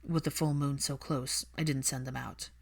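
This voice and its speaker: A woman's voice: extremely low pitch, steady tone, moderate pace, vocal fry, breathy edge, voice pushed down, heavily acted. A woman voicing a weary, guarded man in an audiobook, curt and subdued, with dry sarcasm.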